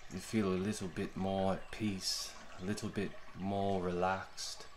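A man leading a guided meditation in a gentle, soothing, unhurried way, with long pauses between short phrases. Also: low voice, little pitch movement.

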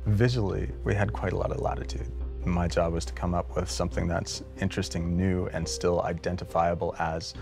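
A man's voice: deep voice, flat delivery, brisk, gravelly. A man in his thirties explaining his work as a creature designer in a behind-the-scenes interview. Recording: music playing behind the voice.

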